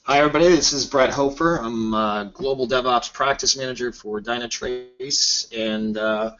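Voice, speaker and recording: A man in his forties from the Tampa Bay area, talking about his career as a podcast guest in halting speech full of fillers. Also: mid-range voice, fairly bright tone, soft background music. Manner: halting, many fillers and restarts. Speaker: guest, DevOps practice manager. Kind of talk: casual podcast chat. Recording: muffled lo-fi audio.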